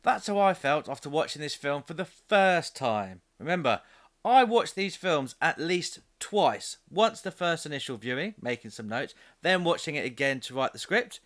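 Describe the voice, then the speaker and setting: A man's voice: on the high side, animated, normal speed, fairly bright tone. A man hosting a scripted comedic film review, narrating in a sarcastic, exasperated manner with loose ad-libs.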